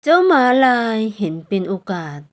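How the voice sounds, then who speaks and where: A woman reading scripted bedtime story narration as a channel's storyteller. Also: normal speed.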